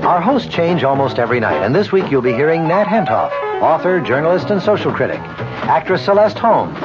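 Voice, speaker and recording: A male promo announcer reads seventies radio copy in a slightly high, animated voice. Loud music plays in the dull recording. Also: a little fast. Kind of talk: 1970s scripted radio promo.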